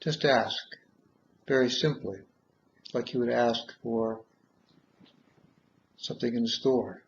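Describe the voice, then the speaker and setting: A man guiding a meditation in measured short phrases with long pauses, on a dull, muffled recording. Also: mid-range voice, fairly even intonation, vocal fry. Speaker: guided meditation leader. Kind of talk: guided meditation, slow soothing instruction.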